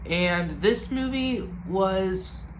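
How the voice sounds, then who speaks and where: A man's voice: high-pitched, extremely slow, slight background noise. A man with a congested, stuffy voice giving a casual movie review, rambling and hesitant with lots of ums and restarts.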